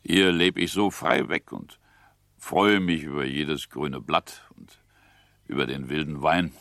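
Very deep, rambling country gentleman. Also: slow pace, creaky, no echo, clean studio sound, full character voice. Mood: contented, expansive. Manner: hesitant, rambling, with interjections. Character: jovial, self-important older country-gentleman father. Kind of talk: German radio drama acting.

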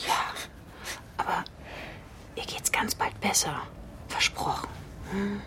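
An actress playing a weary, protective woman in a radio play, speaking in a hushed, guarded, intimate way. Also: mid-range voice, slow pace, whispered, close to an everyday voice. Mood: soothing. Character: weary, protective adult woman caring for a child. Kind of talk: radio play acting, intimate inner monologue.

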